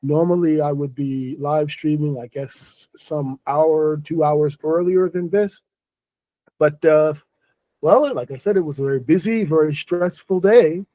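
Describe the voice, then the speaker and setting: Weary, halting New man, mellow voice. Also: a little high, dry recording, rough recording. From New York City. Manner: halting, many restarts and self-corrections. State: weary after a stressful day. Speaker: online English host. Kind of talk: casual monologue to viewers.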